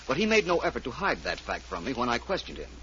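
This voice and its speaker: An actor playing a shrewd, urbane private detective in an old-time radio drama, speaking in a polished, precise, explanatory way. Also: male voice, mid-range voice, wildly swooping pitch, quick pace, bright, forceful tone. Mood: confident, explaining. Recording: muffled, hissy vintage radio broadcast recording.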